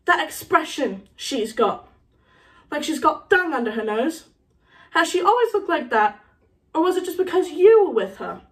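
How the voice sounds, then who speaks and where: Woman voicing a defiant, high-pitched teenage schoolboy. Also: sing-song, normal speed, distant mic. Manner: curt, retorting. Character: defiant, quick-tempered teenage schoolboy hero.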